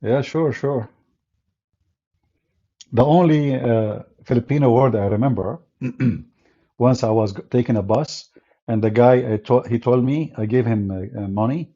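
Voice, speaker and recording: A man with an Arabic accent whose first language is Arabic, hosting a casual live stream in a sarcastic, mocking way and spinning deadpan tall tales. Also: medium pitch, unhurried, pauses often, muffled recording. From Middle East.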